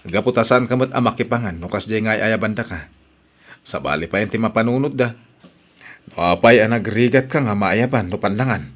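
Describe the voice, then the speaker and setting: A man's voice: lowish voice, fairly quick, lightly acted. A man playing a weary, defensive young husband in an audio drama. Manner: defensive, tired.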